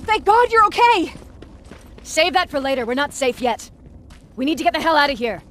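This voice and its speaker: An actress playing an urgent resistance fighter under fire in a video game, calling out dramatically. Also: female voice, high voice, sing-song, moderate pace, a bit bright. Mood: tense relief.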